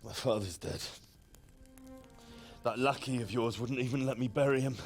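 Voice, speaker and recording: A man's voice: medium pitch, normal speed, soft background music, lightly acted. An actor playing a bitter, defiant young man held captive in a video game drama, speaking with strained defiance. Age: young adult.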